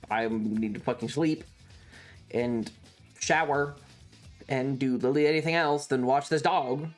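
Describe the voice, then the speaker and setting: Casual streamer storyteller, mid-range voice, rambling and sweary, emphatic repeats, music behind. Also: mid-range voice.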